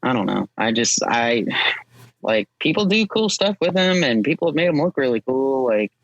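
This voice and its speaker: A man's voice: mid-range voice, sing-song, normal speed, gravelly, no echo, lo-fi sound. A man speaking as a podcast guest in casual chat, hesitant, with wry, understated humor. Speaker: podcast guest, drift driver.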